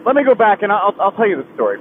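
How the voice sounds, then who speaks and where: A young man riding a motorcycle on a highway, talking through a helmet mic in a halting, heavy-hearted confession that keeps restarting. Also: huge pitch swings. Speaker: motovlogger. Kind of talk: personal confession while riding. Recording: tinny helmet mic with wind and road noise.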